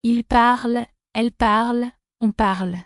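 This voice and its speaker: A woman reading verb forms slowly and deliberately for learners. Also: fairly high pitch, fairly even intonation, dry recording, clean studio sound. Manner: slow, deliberate.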